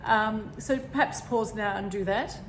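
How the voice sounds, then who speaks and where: A woman with a slightly croaky voice, gently talking through a meditation and often pausing to find her words. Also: medium pitch. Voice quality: croaky, frog in throat. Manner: gentle, rambling, searching for words. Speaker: meditation teacher. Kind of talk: guided meditation talk. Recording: echoey room with background noise.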